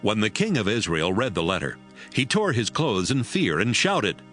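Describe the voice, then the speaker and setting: A male narrator reads scripture as dramatized storytelling. His voice sits fairly low and moves with lively intonation, with faint music underneath. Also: normal speed.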